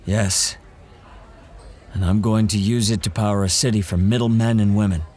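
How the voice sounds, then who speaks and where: A man's voice: fairly low pitch, steady tone, normal speed, darkish tone, lightly acted. An actor playing a hardened male monster hunter in an audio drama, blunt and earnest. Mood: confident.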